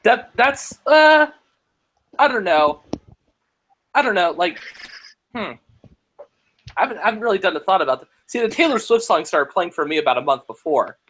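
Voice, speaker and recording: A woman's voice: mid-range voice, big pitch swings, moderate pace, pauses often, a bit bright. A young woman on a casual video call, joking and a bit hesitant. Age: young adult. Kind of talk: casual group video chat. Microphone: computer mic on a video call.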